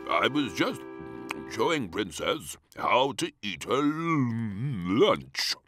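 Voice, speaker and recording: A man's voice: mid-range voice, sing-song, slow pace, music in the background, pitched above the performer's own voice. A man voicing a jolly, doting king and father in a children's storybook, hearty and exaggerated. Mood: sheepish. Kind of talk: read-aloud children's storybook.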